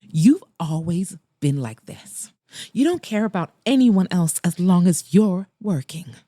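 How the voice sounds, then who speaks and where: A hurt, bitter woman in a romance audiobook, played by a woman narrator. She is accusing and emotional, with sharp words in a soft dark tone, recorded close and dry. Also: fairly low pitch, animated, a little slow, no echo. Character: hurt, resentful woman confronting her former lover.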